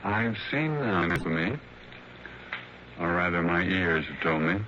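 Deep, slow, resigned old man. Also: expressive, dull audio. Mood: gently knowing. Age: older. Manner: slow, halting, deliberate delivery. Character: sad, resigned old blind man, betrayed by wife. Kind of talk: 1960s radio drama acting. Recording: noisy, band-limited old radio recording.

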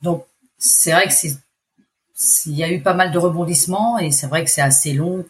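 A woman's voice: fairly low pitch, normal speed. Woman, French accent, forties, chatty, hesitant, restarts her phrases. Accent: French from France. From France. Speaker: expat interviewee. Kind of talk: casual interview testimony.

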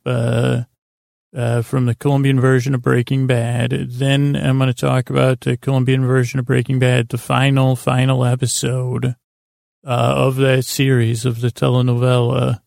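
Creaky, droning man rambling at bedtime. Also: medium pitch, completely flat delivery, darkish tone, dry recording, studio quality. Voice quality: creaky, gravelly. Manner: meandering, halting, with stammered tangents and pauses. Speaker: host of a bedtime sleep podcast. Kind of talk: rambling bedtime monologue to lull listeners to sleep.

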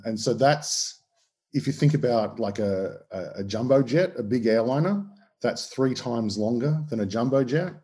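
Australian male historian, dark mellow voice, hesitant and full of fillers. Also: medium pitch, lively intonation, normal speed, husky. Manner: hesitant, many ums and restarts. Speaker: historian guest. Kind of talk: explaining in an interview.

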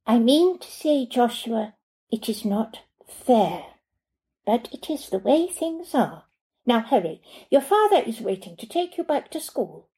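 A prim, proper housekeeper, as a woman reads her out with a higher, lifted voice and a stiff, stilted way of putting things. She talks slowly and carefully, lecturing in a soft, mellow tone, like an audiobook scene. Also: sing-song, poor audio. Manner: formal, stilted, slightly nonnative phrasing.

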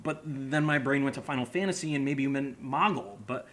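A man's voice: medium pitch, brisk, a bit bright, slight background noise, natural voice. A man playing a goofy, easily flustered amateur investigator who stammers and repeats himself, in naturalistic audio drama dialogue.

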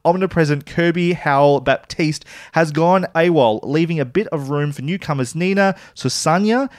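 Man, Australian accent, podcast host, relaxed chat, fairly high pitch, dry sound. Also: normal speed, dry recording. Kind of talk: casual chat and scripted review.

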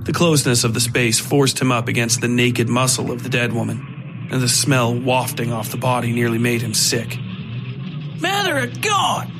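A man narrates a scripted horror story for a podcast, in a middle-pitched voice. Music runs clearly behind the narration. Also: flat delivery, normal speed, poor audio.

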